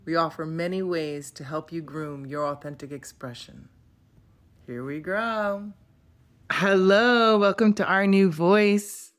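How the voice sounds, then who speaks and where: A woman's voice: on the low side, steady tone, slow. A woman hosting a podcast, talking in an effusive, chatty, enthusiastic way. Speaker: podcast host. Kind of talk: casual podcast chat and intro.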